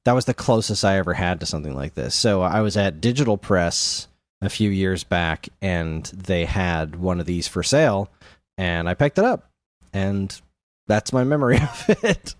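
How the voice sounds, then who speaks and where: A man's voice: deep voice, animated, moderate pace, dry recording. A man co-hosting a podcast, chatting casually and telling stories in a conversational way. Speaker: podcast co-host. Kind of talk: casual chat about video games.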